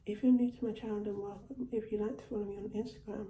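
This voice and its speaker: A woman's voice: mid-range voice, steady tone, quick pace, warm tone, echoey room, a little hiss or hum, low-quality recording. A woman showing off her shopping haul in a casual home vlog, her voice still a bit off from a cold. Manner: chatty, repeats and restarts phrases. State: recovering from a cold. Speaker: vlogger. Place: at home, indoors.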